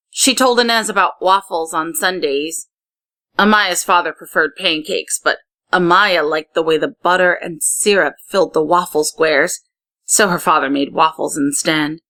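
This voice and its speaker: A woman narrating a children's story in an expressive read-aloud style, putting emphasis on key words. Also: fairly low pitch. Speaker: story reader.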